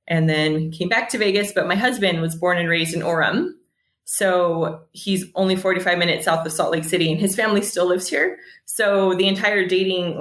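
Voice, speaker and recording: A woman's voice: lowish voice, roomy sound. An American woman in her thirties chatting casually as a podcast guest, telling stories and acting out bits of dialogue. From San Jose, California, United States. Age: 30s. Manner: chatty, animated quoting of dialogue, laughs often. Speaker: podcast guest, bakery owner. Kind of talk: casual conversational storytelling.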